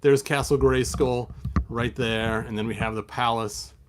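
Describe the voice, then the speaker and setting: A man's voice: mid-range voice, fairly even intonation, a little slow, a little hiss or hum. A man hosting a toy collector channel, chatting in a rambling way with many fillers and restarts, then reading a picture book aloud.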